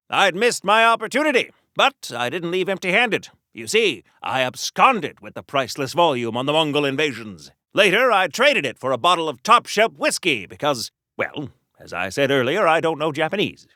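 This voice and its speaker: An actor voicing a brash, rambling old-time American soldier-hero in a video game, hammy and chatty, with old-fashioned slang in a 1940s radio-serial style. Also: male voice, high voice, pushed and bright, dry recording, clean studio sound, exaggerated voice.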